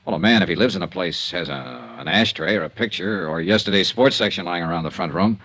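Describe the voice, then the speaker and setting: An actor playing a tough, wry insurance investigator in a 1950s radio drama, clipped and probing. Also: male voice, deep voice, a little fast, fairly bright tone, dry recording. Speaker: lead actor and narrator.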